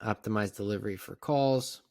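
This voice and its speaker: A man narrating a casual step-by-step software tutorial in a plain, conversational, instructional way. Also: lowish voice, fairly quick, no echo, studio quality. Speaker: tutorial presenter.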